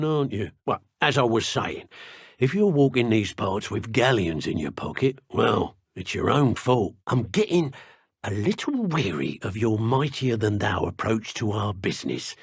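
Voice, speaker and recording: A grumbling dark wizard for a fantasy game. He is husky and expressive, haughty and wry, recorded close and dry. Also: lowish voice, dry recording, exaggerated voice. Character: grumbling, self-important British male dark wizard criminal. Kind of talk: video game character voice acting.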